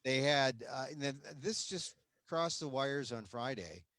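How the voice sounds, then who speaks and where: A man hosting a conversational interview, animated and quick to interject, often laughing as he talks. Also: medium pitch, no room sound, studio quality. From United States. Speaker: host.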